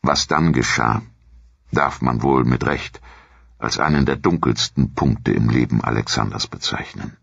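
A man narrates a documentary in an extremely low voice with a husky grain. His delivery is formal and measured, like scripted storytelling. The recording is dry and a little dull. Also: a bit bright, no echo. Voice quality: gravelly, husky.

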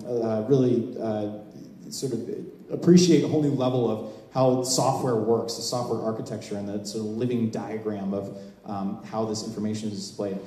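Male, mellow voice, halting explanatory delivery, live demo presentation, roomy hall with long reverb. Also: medium pitch. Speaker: software presenter at a meetup. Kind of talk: live demo talk to an audience. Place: large open indoor event space, on a small stage before a seated audience.

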